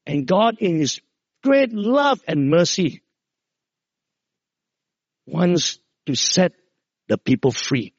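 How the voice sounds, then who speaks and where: High-voiced pastor preaching Christmas. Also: male voice, sing-song, takes it slowly, long pauses, breathy edge, no echo. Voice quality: loud voice. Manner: storytelling preacher, drops low to stress key words. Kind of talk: Christmas sermon. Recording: dull, dry lo-fi sound.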